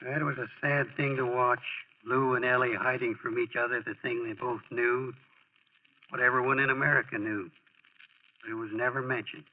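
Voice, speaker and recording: A muffled vintage radio recording carries the husky voice of a man playing a loyal, protective buddy. He talks in folksy slang with mid-range pitch, in the manner of 1940s radio acting. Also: steady tone, normal speed, close to an everyday voice. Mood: somber. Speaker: actor in a radio drama.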